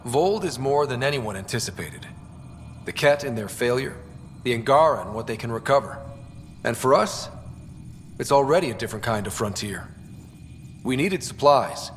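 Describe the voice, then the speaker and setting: A man's voice: mid-range voice, lively intonation, normal speed, long pauses, slight background noise, natural voice. An actor playing a cocky but earnest young male explorer leading a mission in a video game. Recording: music behind the voice.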